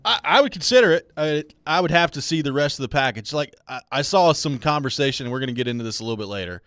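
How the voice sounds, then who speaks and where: A man's voice: on the high side, animated, fast, a bit bright, dry recording. A man hosting a sports radio show in casual, conversational talk. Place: dry radio studio.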